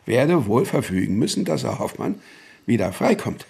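An actor playing a stern, authoritarian Prussian state official in a German radio drama, deliberate and officious in manner. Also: male voice, mid-range voice, sing-song, creaky, a little mellow. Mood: measured, doubtful.

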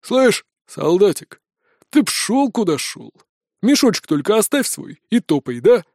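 A man voicing a crude, mocking bandit leader with a booming, threatening manner in a fantasy audiobook. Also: big pitch swings, unhurried, vocal fry, a little mellow, dry recording, clean studio sound, heavily acted. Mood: dismissive threat. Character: gruff menacing male bandit chief, crude and mocking.

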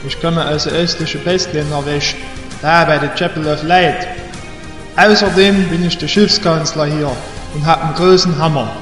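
A man plays a simple, chatty hammer-wielding warrior in a fantasy comedy audio drama. His fairly high voice echoes in a hall, away from the mic. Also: steady tone, normal speed, a bit bright, recorded from a distance, soft background music, close to an everyday voice. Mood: eager, simple.

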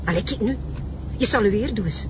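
A woman's voice: medium pitch, expressive, normal speed, highs cut off, noisy background, close to an everyday voice. A woman playing an impatient, bossy passenger bickering with the driver in a television drama. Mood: nagging. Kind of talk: Flemish television drama acting.

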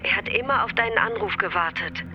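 A woman plays a weary mother in a radio drama, practical and full of quiet reproach. Music runs clearly behind her mid-pitched voice. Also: a little fast, muffled recording, lightly acted. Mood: reproachful. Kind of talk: German radio drama acting.

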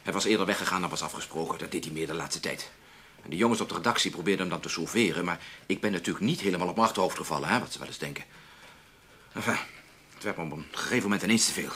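A stern middle-aged newspaper boss in a Dutch radio play, with a Netherlands accent. His voice is low and bright-edged, and he justifies himself firmly. There is faint noise behind him. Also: racing. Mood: indignant, confiding. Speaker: radio drama actor.

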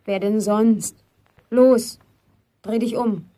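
An actress playing a sharp-tongued, indignant young woman in a German radio play, scolding in colloquial speech. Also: fairly high pitch, fairly even intonation, slow. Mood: bossy.

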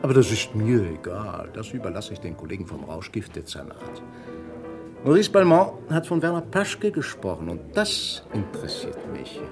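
An actor playing a shrewd, persistent police inspector in a vintage radio crime drama, blunt and probing. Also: male voice, fairly low pitch, very animated, normal speed, music in the background. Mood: stern, pressing. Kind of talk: German radio crime play acting, 1950s to 80s.

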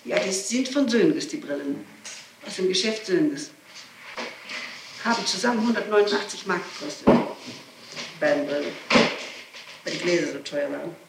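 A woman's voice: fairly low pitch, animated, moderate pace, pauses often. Insistent woman on an echoey old tape. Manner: insistent, emphatic, repeats herself. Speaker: person being questioned by police. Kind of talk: statement under interrogation. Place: echoey interrogation room. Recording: noisy, echoey archival tape, distant mic.

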